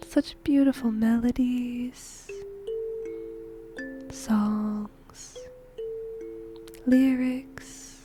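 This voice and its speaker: Casting: woman playing a whimsical female shinigami for ASMR roleplay, slow breathy whisper, gentle and musing, music behind. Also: a little high. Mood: soft wonder. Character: whimsical female shinigami death god fond of humans. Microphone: close microphone.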